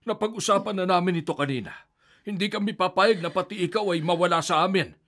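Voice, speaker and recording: An actor playing an older grandfather who gently reassures his grandson in a radio drama. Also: high-pitched, quick pace. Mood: firm. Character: worried grandfather of the family.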